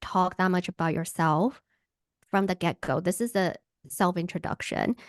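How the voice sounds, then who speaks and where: A woman from Hawaii, a workshop coach, answering questions on a video call with an animated manner. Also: on the low side, normal speed, no room sound, studio quality. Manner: animated, acts out enthusiastic quotes.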